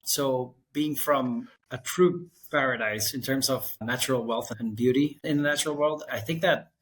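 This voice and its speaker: A man in his thirties from Costa Rica, an architect guest telling his career story in a relaxed, winding way. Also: medium pitch, normal speed, slightly rough, low-quality recording. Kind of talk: conversational interview.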